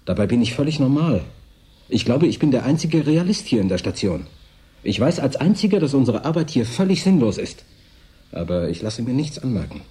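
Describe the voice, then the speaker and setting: Husky, dark-voiced secretive man. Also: mid-range voice, very animated, fairly quick. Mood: secretive, intense. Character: secretive, lonely station worker, insisting he is sane. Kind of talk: German radio drama acting.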